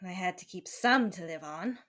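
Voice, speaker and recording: An actress playing a slick, deceitful femme fatale, theatrically pleading and hesitant, in a 1940s-style radio drama. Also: female voice, fairly low pitch, expressive, fairly bright tone. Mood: guarded, earnest. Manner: breathy pauses, theatrical pleading with a throb.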